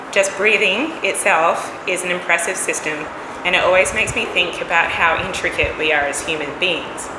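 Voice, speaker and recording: A hoarse woman preaches in a casual, conversational way, with an occasional cough. Her voice sits a little low, and it echoes around a roomy church hall with a steady air-conditioning hum behind it. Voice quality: coughs now and then. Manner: relaxed, conversational, chatty asides. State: hoarse, has lost her voice. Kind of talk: casual sermon.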